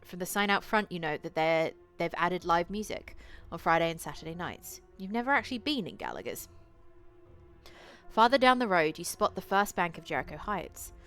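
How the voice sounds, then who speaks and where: Woman, low pitch, live stream narration, playful and sweary, faint spooky game music behind. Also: lively intonation, normal speed, fairly bright tone. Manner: swears casually, playful asides to chat. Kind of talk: live stream commentary and reading visual novel aloud. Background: eerie game music.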